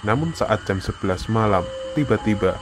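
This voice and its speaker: A man's voice: fairly low pitch, lively intonation, normal speed. A man with an Indonesian accent giving scripted narration for a horror story. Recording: music behind the narration.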